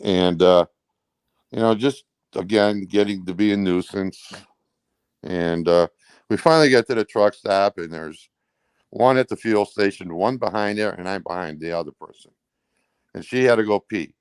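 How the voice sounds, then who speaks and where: A man's voice: lowish voice, normal speed, clear, resonant tone, dry recording. A man talking casually as an interview guest, recounting personal events in a rambling, blunt, colloquial way. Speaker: truck driver.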